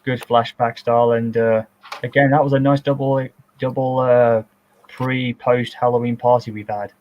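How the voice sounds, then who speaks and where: A man's voice: flat delivery, normal speed. Casting: thirties man, rambling chatty commentary, slightly dull call audio. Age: 30s. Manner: rambling, self-described distinctive high voice. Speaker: filmmaker giving commentary. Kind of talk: casual commentary chat.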